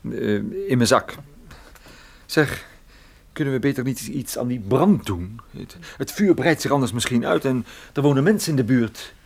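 A man's voice: mid-range voice, expressive, normal speed, vocal fry, a little mellow. An actor playing a shaken, self-mocking male private detective in a Dutch radio play, with a sardonic way of talking. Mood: uneasy.

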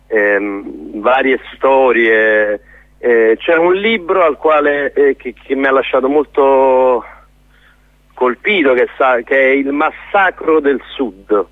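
A man's voice: mid-range voice, slow pace, dry recording. A man speaking as a theatre director in a spontaneous radio interview, earnest and often hesitant as he searches for words. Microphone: telephone. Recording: narrow tinny phone line.

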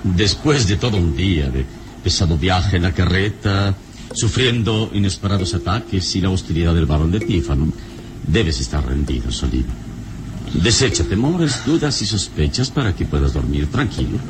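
An actor playing a wise, calm, heroic adventurer in a solemn, measured, theatrical radio-serial style. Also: male voice, deep voice, background noise. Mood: reassuring. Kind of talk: old radio serial acting.

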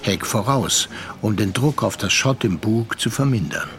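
An actor playing a reflective sea captain, narrating a literary radio drama in a measured way. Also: male voice, on the low side, expressive, slight background noise. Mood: measured recounting. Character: reflective seafaring captain recounting a past affair.

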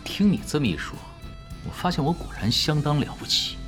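A man playing a nonchalant, confident young pro gamer in a Chinese radio drama, teasing and quietly assured. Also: on the high side, lively intonation, brisk. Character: laid-back, confident young male pro gamer who ran away. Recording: music behind the voice.

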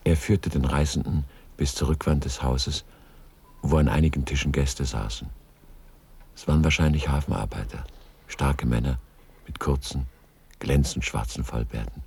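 Very deep, gravelly male narrator. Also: fairly even intonation, normal speed, a little mellow. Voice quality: gravelly, husky. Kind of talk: literary radio drama narration.

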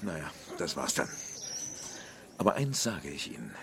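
A man's voice: very deep voice, big pitch swings, moderate pace, creaky, noisy background, full character voice. An actor playing a self-righteous, hostile village hunting-club man in a radio crime drama, blustering and hemming. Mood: indignant, threatening. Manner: hesitant fillers, sneering chuckles, menacing bluster.

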